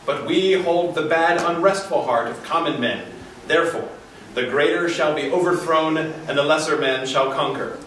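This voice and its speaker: A man playing a stern, solemn churchman in a dramatic reading of a verse play, with measured, formal declamation. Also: a little high, fairly bright tone, lightly acted. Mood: solemn, insistent. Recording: echoey conference room, distant, faint noise.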